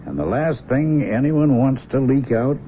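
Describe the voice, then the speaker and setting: An actor in a 1970s radio drama playing a breezy middle-aged army colonel, slangy, chummy and confident. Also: male voice, medium pitch, expressive, unhurried, close to an everyday voice. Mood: confidential, assured. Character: brisk, breezy army intelligence colonel, old buddy. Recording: dull, noisy old radio broadcast.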